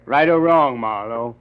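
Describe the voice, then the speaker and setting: An actor playing a sly, money-minded American private investigator in a 1940s radio crime drama, with needling, slangy talk. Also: male voice, mid-range voice, slight background noise, lightly acted. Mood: defensive, smirking. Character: shifty, wisecracking, money-minded male rival private investigator. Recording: dull vintage radio recording.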